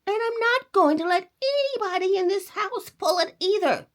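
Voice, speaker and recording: A woman's voice: very high voice, lively intonation, moderate pace, voice pushed up. A woman voicing a stubborn, frightened little boy in a storybook, whiny and emphatically defiant.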